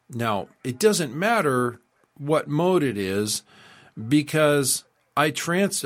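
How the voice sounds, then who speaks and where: Man hosting an instructional radio show. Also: mid-range voice. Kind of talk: informal instructional monologue.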